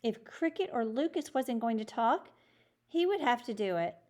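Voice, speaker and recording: A woman's voice: fairly high pitch, expressive, moderate pace, a little mellow. A woman reading a children's chapter book aloud as a storyteller.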